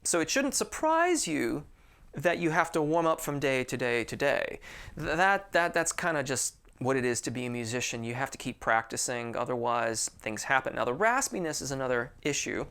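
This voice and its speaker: A man's voice: medium pitch, big pitch swings, moderate pace, bright, forceful tone, clear, resonant tone. A man who teaches singing, answering viewer questions in a casual, friendly way and often restarting his sentences. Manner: chatty and informal, with frequent restarts.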